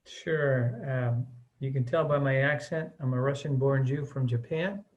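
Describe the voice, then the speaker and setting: A man's voice: medium pitch, a little slow, highs cut off. Earnest middle-aged American man. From United States. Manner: earnest, chatty, with many "uh" and "um" fillers. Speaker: interviewee, missions mobilizer. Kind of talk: relaxed conversational interview.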